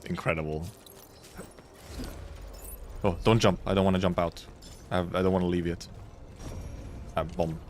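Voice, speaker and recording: A man's voice: low-pitched, fairly even intonation, moderate pace, long pauses, darkish tone, slight background noise. A man giving casual live gameplay commentary as a streamer, rambling and muttering to himself. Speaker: game streamer.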